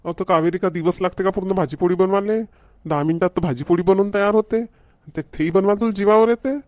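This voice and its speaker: A man's voice: high-pitched, fast talker, darkish tone, dry recording, natural voice. A man playing a grumbling, demanding village husband in a comedy cartoon, with a Varhadi Marathi accent and a nagging, repetitive manner. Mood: indignant, bossy. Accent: Varhadi Marathi from Vidarbha.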